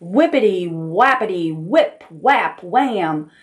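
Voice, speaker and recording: A woman's voice: on the low side, expressive, slow. A woman narrating a children's picture book expressively, as a storytime reader at a library.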